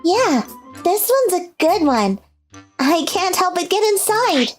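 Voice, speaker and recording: Sweet, easygoing teen gyaru, very high cutesy anime voice, slow delivery, music behind. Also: female voice, sing-song, full character voice. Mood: cheerful. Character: sweet easygoing teenage gyaru girl, cat-like. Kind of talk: cutesy anime character dialogue. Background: background music.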